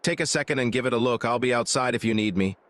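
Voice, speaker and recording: A man's voice: mid-range voice, quick pace, fairly bright tone, no echo, voice pushed up, lightly acted. A man voicing a friendly neighbor farmer in a farming game, easygoing and welcoming.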